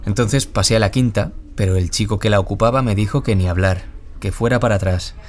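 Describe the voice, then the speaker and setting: A man acting a gentle former bullying victim turned author in an audio drama, confiding in a measured, reflective way. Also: fairly low pitch, a little hiss or hum, natural voice. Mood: sad, remembering. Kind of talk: audio drama acting as a radio interview guest.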